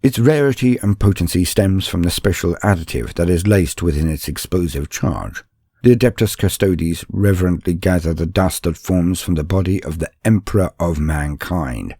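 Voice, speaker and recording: Low, husky, dramatic male narrator. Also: dark tone, no room sound, studio quality. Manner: theatrical, solemn. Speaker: narrator and channel host.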